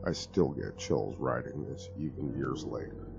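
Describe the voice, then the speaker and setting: A man narrating a horror story as a dramatic reading, grave and hushed. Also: extremely low pitch, measured pace, creaky. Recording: lo-fi with music underneath.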